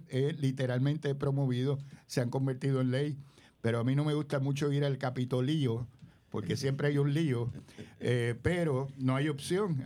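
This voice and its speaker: A man's voice: mid-range voice, mellow. An older man, a community leader speaking as a radio guest in a rambling way, full of hesitations.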